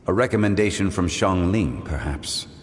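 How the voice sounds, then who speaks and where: An actor playing a composed, scholarly gentleman in a video game, speaking in formal, courteous phrases. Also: sits low, moderate pace, long reverb tail, a little hiss or hum, lightly acted. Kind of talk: scripted video game dialogue.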